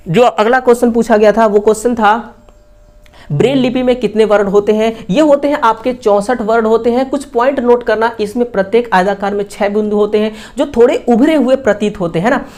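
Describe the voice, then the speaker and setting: High-pitched Indian woman teaching an exam lesson. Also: darkish tone. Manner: repeats key facts as rhetorical questions, frequent checking tags. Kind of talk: exam question analysis lesson.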